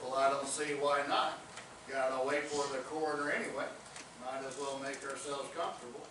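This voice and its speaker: A man's voice: medium pitch, fairly even intonation, fairly quick, close to an everyday voice. Man acting a folksy cowboy lawman, far off, echoey. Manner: drawling Old West slang, folksy. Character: folksy cowboy lawman investigating murders, teasing and stubborn. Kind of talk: staged reading of a comic murder mystery play. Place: theatre stage, recorded from afar. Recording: distant, very echoey, noisy theatre recording.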